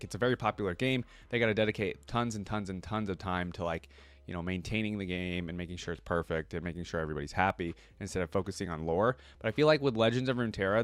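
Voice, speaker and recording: A man's voice: fairly low pitch, no echo. A man hosting a casual reaction video, talking in a rambling, chatty way with lots of fillers and restarts. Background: faint music playing.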